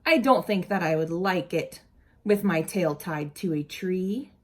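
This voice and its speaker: Animated, unhurried woman reading to children. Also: on the low side. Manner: animated, asks children simple questions. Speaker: early childhood educator reading aloud. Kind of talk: expressive picture-book read-aloud with asides to young children.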